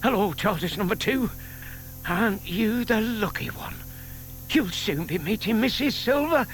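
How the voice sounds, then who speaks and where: An actor playing a gentle, fond older man in an audio play, murmuring to himself and to animals. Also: high-pitched, animated, measured pace, a little hiss or hum, full character voice, rough recording. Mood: delighted. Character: gentle, fond old neighbour man scheming kindly with tortoises. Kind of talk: audio theatre dramatisation.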